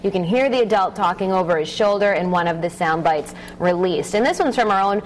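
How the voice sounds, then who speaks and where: A woman's voice: mid-range voice, a little fast, a little hiss or hum, poor audio. A woman in her thirties presenting and reading out viewer comments in a television studio. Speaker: TV show web correspondent. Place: TV studio tech desk, standing.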